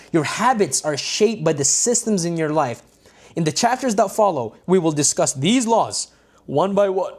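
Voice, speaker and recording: A man's voice: fairly high pitch, a little mellow. A man reading a self-help book aloud on a livestream, with stumbles and restarts and casual asides to his viewers.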